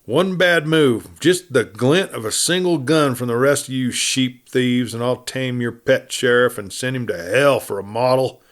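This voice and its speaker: A man performs a big, bold outlaw from a western storybook. He is defiant and taunting, and he blusters in rough cowboy talk. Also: mid-range voice, measured pace, few pauses, lightly acted. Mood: threatening.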